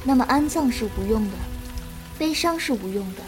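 A woman playing a gentle, compassionate young martial-arts disciple who explains patiently in a Chinese period audio drama. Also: high voice, expressive, a little fast, music in the background. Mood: gentle, consoling.

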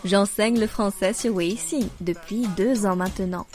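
A woman from France giving a scripted French lesson, speaking with careful, deliberate enunciation as a teacher. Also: medium pitch, a little mellow, soft background music. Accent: French accent in Mandarin. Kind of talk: scripted language lesson with slow storybook reading.

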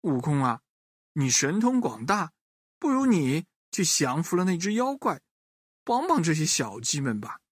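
A compassionate old monk from a storybook, speaking slowly and gently with a lively rise and fall, a man doing the voice. Close, dry sound. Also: a little high, breathy edge, no room sound, exaggerated voice. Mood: gentle. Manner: slow, solemn, kindly.

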